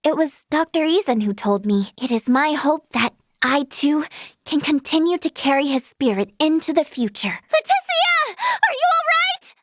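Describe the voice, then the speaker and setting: A cheerful young female healer from a video game. Her voice is high and girlish, with lively, bouncing intonation in a dry, close recording. She is earnest and bubbly, and trips over her words when flustered. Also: normal speed, no room sound. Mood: earnest, informative. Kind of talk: animated video game voice acting.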